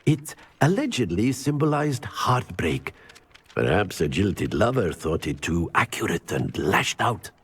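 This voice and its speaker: A man's voice: sits low, very animated, a little slow, husky, full character voice. A man playing a jovial, theatrical wizard professor in a video game, florid and precise in his diction. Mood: jovial, encouraging.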